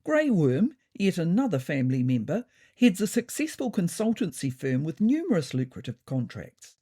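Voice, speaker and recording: Probing reporter for an animal tale, voiced by a woman: deliberate, accusing, persistent, mellow tone with animated emphasis. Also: fairly low pitch, breathy edge. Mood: pointed, accusing. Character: persistent, probing newspaper reporter questioning cat politicians. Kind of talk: audiobook storytelling.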